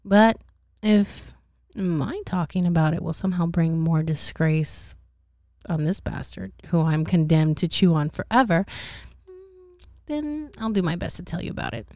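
A woman's voice: fairly low pitch, lively intonation, unhurried, dark tone, no echo, studio quality. A woman voicing a bitter, tormented count condemned to hell, telling his story in an aggrieved, vulgar, conversational way. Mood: bitter, anguished. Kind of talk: dramatic audiobook-style reading.

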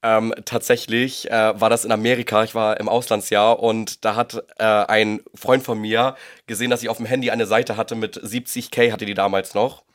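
A young man from northern Germany, a chatty podcast guest who is slightly under the weather, self-mocking and quick to laugh. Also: on the low side, flat delivery. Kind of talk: casual podcast chat. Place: host's bedroom, sitting in bed.

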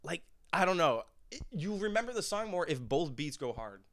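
A man's voice: a little high, sing-song, normal speed, fairly bright tone, no room sound. A young man, a musician guest on a podcast, who chats casually in a rambling, laid-back way and laughs often. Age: young adult.

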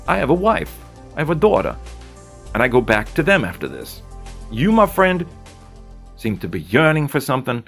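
A man's voice: medium pitch, creaky, a bit bright, heavily acted. A man voicing a slick, blunt male bartender who talks in a teasing, lecturing way.

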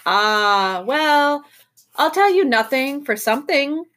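A woman acts out a silly, pear-shaped male creature from a children's storybook. He is mournful and absent-minded, drawing his words out slowly in a high, dopey sing-song. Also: lively intonation, fairly bright tone. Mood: solemnly silly.

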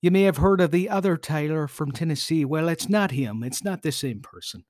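A man's voice: on the high side, fairly quick, darkish tone, dry recording, clean studio sound. A podcast host with a put-on Irish accent, talking on his own in a playful, theatrical way with self-mocking jokes. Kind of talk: solo podcast monologue with jokey asides.

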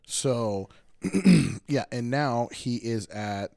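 A man's voice: mid-range voice, extremely slow, darkish tone, no echo, studio quality. Blunt laid-back man talking sports. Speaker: podcast co-host. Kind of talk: casual sports chat. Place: bedroom. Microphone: studio podcast mic.

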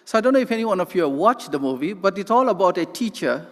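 A man's voice: high voice, expressive, quick pace, fairly bright tone. A man from South Africa with a South African accent, preaching a passionate Pentecostal sermon in church, often restarting his sentences. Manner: passionate, rambling with restarts and laughs. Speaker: guest preacher. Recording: long church reverb.

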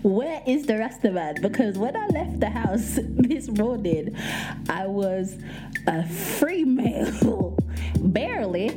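A woman with a light, soft voice, hosting a podcast and telling a story in casual, playful chat. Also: fairly high pitch, slow pace, over loud music. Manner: animated, playful, breaks into singing and laughter. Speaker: podcast host.

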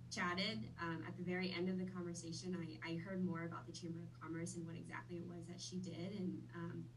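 A lowish, relaxed woman in her twenties talks through her career path in a friendly, unhurried way, full of ums. She sits a little away from the mic. A faint hum runs underneath. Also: distant mic, poor audio. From Vietnam. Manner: friendly, easygoing, many fillers. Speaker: podcast guest. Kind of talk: casual interview chat.

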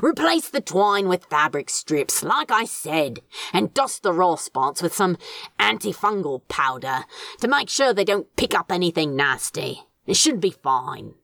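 A woman's voice: lowish voice, sing-song, a little slow, vocal fry, fairly bright tone, no room sound, studio quality, full character voice. A woman voicing an irritable, sarcastic male demon in an audiobook story, emphatic and scornful. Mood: grudging, sarcastic. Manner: exasperated, emphatic, sardonic.

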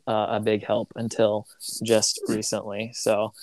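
A man's voice: lowish voice, monotone, measured pace, darkish tone, no echo, poor audio. Rambling young man, dry close sound. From grew up in Tanzania. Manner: rambling, acts out conversations. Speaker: podcast host. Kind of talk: casual podcast chat.

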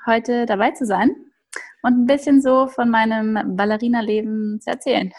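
A woman's voice: fairly high pitch, steady tone, moderate pace, a little mellow. A young woman speaking standard German, a ballet dancer chatting cheerfully as a podcast guest, with many fillers. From Austria, raised in Upper Bavaria. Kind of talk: casual podcast interview.

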